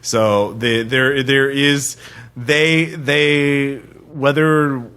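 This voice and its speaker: A man hosting a podcast in loose, unscripted conversation, often halting as he finds his way through a thought. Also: medium pitch, fairly even intonation, a bit bright, clear, resonant tone. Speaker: podcast host. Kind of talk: casual unscripted podcast chat.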